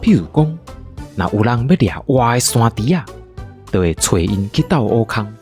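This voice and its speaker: A woman's voice: very deep voice, lively intonation, moderate pace, mellow, faint music behind. A woman with a Taiwanese accent hosting and narrating a playful scripted story. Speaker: podcast host and storyteller.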